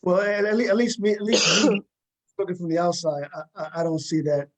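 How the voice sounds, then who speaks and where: Guy talk show host, voice a bit high, casual, keeps repeating words when he starts. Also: fairly quick. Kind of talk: casual panel interview.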